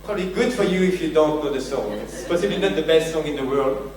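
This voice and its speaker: A man's voice: a little high, expressive, fast, darkish tone. A man with a French accent giving a conference keynote, joking and self-deprecating as he talks to the audience. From France. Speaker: keynote speaker. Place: large conference venue. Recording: very echoey hall, distant mic, faint hum.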